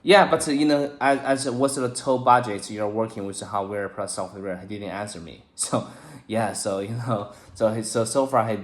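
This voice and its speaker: A man with a Chinese accent, a native Chinese speaker learning English, talking casually in halting, repetitive, broken phrases. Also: fairly low pitch. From China. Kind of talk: casual one-on-one conversation lesson. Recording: echoey room.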